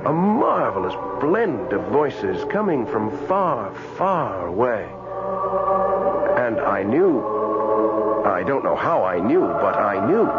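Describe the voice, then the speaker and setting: An actor playing an earnest, fussy young librarian who has just died, in a halting, emphatic 1970s radio drama style. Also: male voice, fairly high pitch. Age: 20s. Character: earnest, fussy young male librarian, newly dead ghost. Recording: muffled old radio broadcast, loud music behind.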